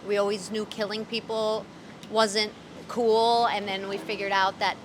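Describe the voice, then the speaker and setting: A woman talks candidly as an interview guest out on a city street. Her speech is casual, she laughs easily, and she slips in dark humor. Faint traffic hums in the background. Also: medium pitch, little pitch movement, unhurried, poor audio. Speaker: interview guest, voice actor and comedian.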